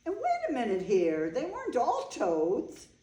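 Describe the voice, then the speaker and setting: Older bride, female stage reader: eccentric, chatty, earnest storyteller with a raised pitch, heard in an echoey room. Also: huge pitch swings, measured pace, mellow. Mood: chatty, playful. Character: Eve, eccentric older bride, affectionate, chatty animal lover. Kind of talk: staged reading of a play. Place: indoor room, staged reading.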